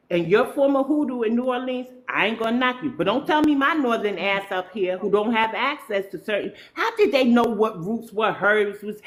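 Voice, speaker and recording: A woman with a New York accent hosting a livestream, talking straight to her chat in an opinionated, slangy way. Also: medium pitch. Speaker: livestream host. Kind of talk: casual livestream talk.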